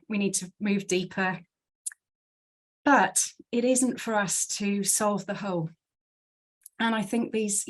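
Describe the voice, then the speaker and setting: A woman from South Wales, a guest speaker giving an earnest, conversational talk to a church group and thinking aloud as she goes. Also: medium pitch. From Swansea, South Wales. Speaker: guest speaker from a conservation charity.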